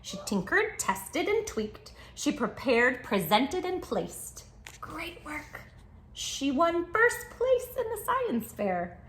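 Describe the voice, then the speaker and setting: A woman's voice: high voice, wildly swooping pitch, slow, a bit bright, slight background noise. A woman hosting a children's storytime, reading aloud expressively with dramatic emphasis and speaking directly to young listeners. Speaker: children's book read-aloud host. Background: music.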